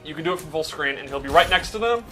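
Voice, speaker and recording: A man with a bright, forward voice on the higher side gives casual gameplay commentary. He explains things step by step in a loose, conversational way, with music clearly behind him. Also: lively intonation, very fast. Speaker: game commentator breaking down a character.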